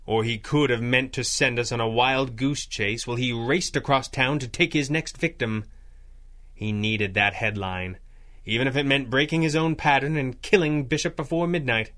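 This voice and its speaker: Bright, confident masked hero played by a man. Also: medium pitch, normal speed, close to an everyday voice. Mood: measured, explanatory. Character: masked vigilante hero, confident, wry, protective boss. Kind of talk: 1930s-style radio drama acting.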